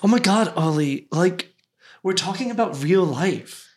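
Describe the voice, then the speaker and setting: A woman's voice: lowish voice, expressive, unhurried, darkish tone. A woman playing an easily shocked, sympathetic best friend in a casual comedy sketch read. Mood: shocked, exasperated.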